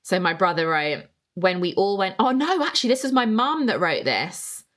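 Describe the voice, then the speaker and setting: A woman's voice: medium pitch, animated, normal speed, fairly bright tone. A young woman hosting a casual solo podcast, chatting in a rambling way and reading out listener answers. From England. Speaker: podcast host.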